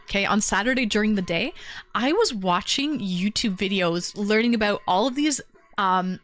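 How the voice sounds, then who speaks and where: A young woman hosts a livestream from home, rambling easily as she answers comments from her chat. Her voice sits a little high and sounds slightly stuffy from a lingering cold. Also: a bit bright. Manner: chatty, rambling, talks straight to chat viewers. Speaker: livestream host. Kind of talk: casual chat reading viewer comments.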